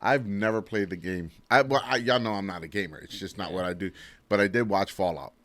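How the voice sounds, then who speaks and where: Male podcast panelist, fairly low voice, blunt, slangy, casual talk. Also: fairly quick, fairly bright tone. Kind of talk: casual chat.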